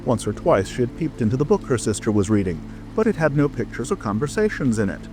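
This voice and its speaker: Guy narrating a storybook, really varied expressive delivery, music playing under him. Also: medium pitch, fast talker.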